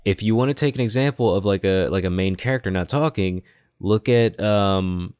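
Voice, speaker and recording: A man's voice: on the low side, moderate pace, darkish tone, clear, resonant tone, no room sound, clean studio sound. A man giving his opinions in casual, slangy conversation as a podcast co-host. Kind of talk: casual chat about games and films.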